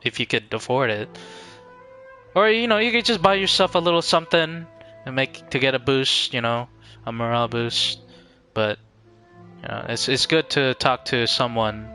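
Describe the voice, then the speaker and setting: Male streamer, mid-pitched and bright, reflective casual chat with halting phrasing over faint game music.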